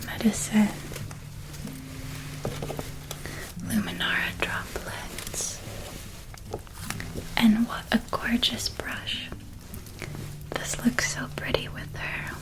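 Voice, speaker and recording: A woman voicing a gentle, doting witch in a soft, breathy ASMR roleplay whisper, tender and affectionate. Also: a little high, flat delivery, rough recording. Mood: soothing. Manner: slow, tender, affectionate, lingering on words. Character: gentle, doting older witch woman helping with school shopping.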